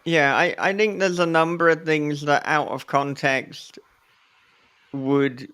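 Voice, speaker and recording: Slow, smooth-voiced man hosting a chat. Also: fairly high pitch, fairly even intonation, sharp tone. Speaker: podcast host and author interviewing a guest. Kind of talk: casual conversational interview.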